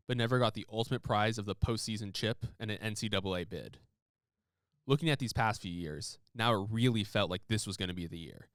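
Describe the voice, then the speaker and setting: A man hosting and narrating a sports audio documentary in a measured storytelling voice. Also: on the low side. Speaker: podcast host and narrator. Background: music bed.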